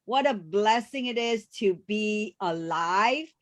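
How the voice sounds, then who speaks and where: A woman co-hosting a casual video call chat, speaking with halting phrasing and many restarts. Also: medium pitch, expressive. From refugee background. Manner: warm, halting, frequent restarts and fillers. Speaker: co-host.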